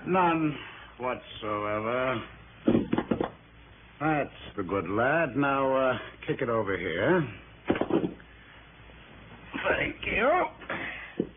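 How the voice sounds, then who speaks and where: A polite smug old-radio crook, genteel menace, voice swoops around, tinny hissy recording. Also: male voice, mid-range voice, very animated. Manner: genteel, drawn-out, over-polite phrasing. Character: smooth, mock-courteous male crook, coolly menacing. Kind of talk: 1960s radio drama villain acting. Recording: tinny, noisy early-1960s radio recording.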